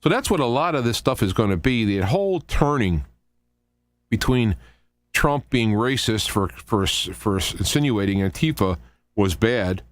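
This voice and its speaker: A man hosting a talk radio show, giving informal political commentary in a halting, rambling way with conversational asides. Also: lowish voice, expressive, no room sound.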